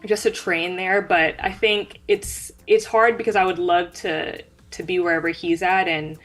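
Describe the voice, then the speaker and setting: A young woman speaks as an athlete being interviewed. Her voice sits fairly low and her phrasing is earnest and informal. Faint music plays behind her. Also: fairly even intonation, moderate pace, a bit bright. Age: young adult. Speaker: guest, track sprinter. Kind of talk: conversational interview answers.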